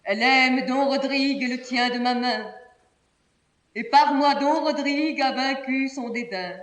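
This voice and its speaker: Slow, anguished young princess. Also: female voice, high voice. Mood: composed, dignified. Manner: solemn, impassioned, theatrical declamation. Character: proud, lovesick young Castilian princess, anguished and noble. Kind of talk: declaimed classical French verse stage tragedy. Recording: roomy, distant theatrical studio recording.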